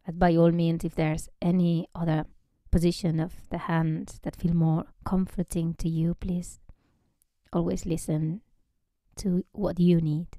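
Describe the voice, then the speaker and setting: Very soft, slow, mellow woman guiding relaxation. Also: on the low side, little pitch movement, no echo. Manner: gentle, unhurried, often dropping to a breathy near-whisper. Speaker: yoga nidra teacher. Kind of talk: guided relaxation meditation.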